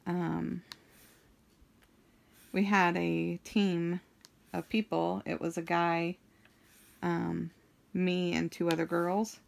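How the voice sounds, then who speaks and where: A young woman with a deep, somewhat monotone voice, chatting in a slow, meandering way with many pauses and ums as she works on a craft for her vlog. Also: gravelly. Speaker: craft vlogger. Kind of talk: rambling chat while crafting.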